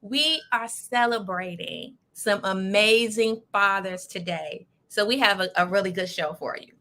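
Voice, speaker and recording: A woman's voice: medium pitch, unhurried, sharp tone. An American woman hosting a panel show in an upbeat style, introducing guests and putting questions to them. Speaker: host of a self-care show.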